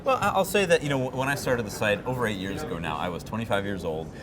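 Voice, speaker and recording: A man's voice: lowish voice, expressive, fast talker. An American man in his thirties, a guest in an interview, talking fluently and confidently with many fillers at a trade fair. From United States. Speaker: guest, website founder. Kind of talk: conversational interview. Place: busy trade fair booth. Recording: loud crowd chatter behind.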